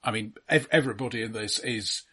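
A man's voice: fairly low pitch, brisk, sharp tone, breathy edge. A man co-hosting a casual film discussion, offering his observations conversationally. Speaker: film podcast co-host.

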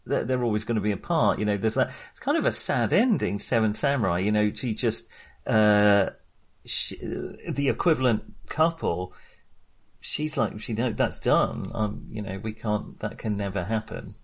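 A man's voice: fairly low pitch, animated, normal speed, slightly rough. A man discussing films casually as a podcast host, in a chatty, hesitant, rambling way.

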